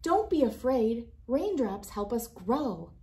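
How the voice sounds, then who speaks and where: Tiny motherly storybook caretaker, played by a woman reader: female, tender, slow, reassuring. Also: a little high, very animated, darkish tone, voice pushed up, full character voice. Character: tiny motherly woman, caring guardian of seeds.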